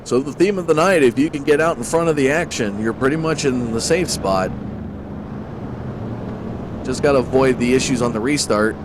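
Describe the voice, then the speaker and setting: A man's voice: mid-range voice, normal speed, long pauses. Stuffy-nosed male race commentator. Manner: steady play-by-play, addresses folks directly. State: congested, stuffy nose. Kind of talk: play-by-play sim racing commentary. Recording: loud engine noise behind voice. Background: loud race car engine noise.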